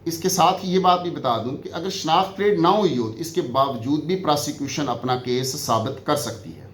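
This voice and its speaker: A man's voice: a little high, normal speed. A man with a Pakistani accent giving an explanatory lecture, teaching by posing questions and answering them, repeating key phrases. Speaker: law lecturer. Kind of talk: explanatory exam-prep lecture mixing Urdu and English. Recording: echoey room with faint background noise.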